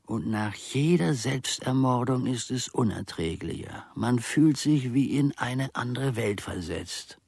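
Casting: brooding, aging manservant, radio drama; dry close sound, gravelly voice, ornate self-dramatising delivery. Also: male voice, mid-range voice, animated, measured pace, few pauses, a little mellow, no echo, heavily acted. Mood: bitter. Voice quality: gravelly, husky. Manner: rambling, archaic, self-dramatising. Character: bitter, aging manservant brooding over his wretched life. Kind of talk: theatrical radio drama monologue.

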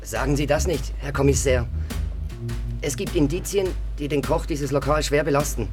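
A man's voice: mid-range voice, moderate pace, music in the background, natural voice. A man playing a dutiful, officious policeman in a German radio drama, formal, earnest and deferential.

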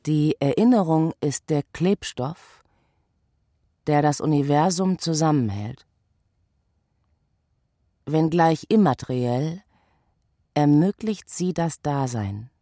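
Pensive poetic radio-play voice: low, husky, intimate, very dry and close, light music beneath. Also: female voice, expressive, slow, pauses often, mellow, no room sound. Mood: pensive, hushed.

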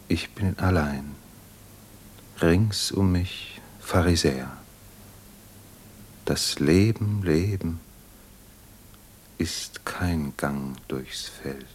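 An actor playing a solitary poet, gravely reciting verse in a radio play with a husky, breathy, measured delivery. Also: male voice, low voice, slight background noise. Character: solitary, anguished male poet reciting his own verses. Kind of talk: solemn poetry recitation in a German radio play.

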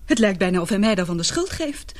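A woman's voice: mid-range voice, big pitch swings, close to an everyday voice. Casting: woman acting an unhappy young heroine for radio drama, mid-range voice, emotional, hesitant, confiding. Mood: worried, confiding. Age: young adult. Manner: halting, earnest, emotional. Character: anguished young woman in love, confiding in her father.

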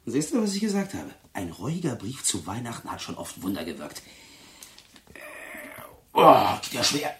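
An actor playing a nosy, chummy prison cellmate with blunt, colloquial speech in a radio drama. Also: male voice, mid-range voice, wildly swooping pitch, normal speed, long pauses, a bit bright, a little hiss or hum.

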